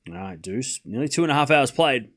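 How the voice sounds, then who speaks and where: A man with a mild Australian accent and a medium-pitched voice hosts a sports livestream. He moves between live tennis commentary and casual replies to viewers. His delivery is conversational, and he often repeats a phrase to stress it. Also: very animated, a little fast, a bit bright. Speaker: livestream watchalong host and commentator.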